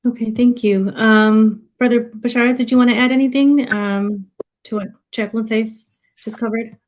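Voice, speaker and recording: A woman's voice: a little high, fairly even intonation, normal speed, darkish tone. A woman moderating a webinar on a video call, handing off politely with brief fillers.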